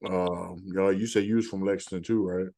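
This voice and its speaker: A man's voice: sits low, steady tone, moderate pace, warm tone, no room sound. A man hosting a podcast, asking plain questions with fillers. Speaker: podcast host. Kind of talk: interview questions.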